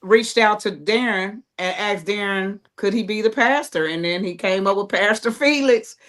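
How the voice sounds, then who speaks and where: A woman's voice: mid-range voice, normal speed, a bit bright. A woman with an American accent speaking informally and conversationally as a playwright guest in a casual panel chat. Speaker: playwright and creator, interview guest.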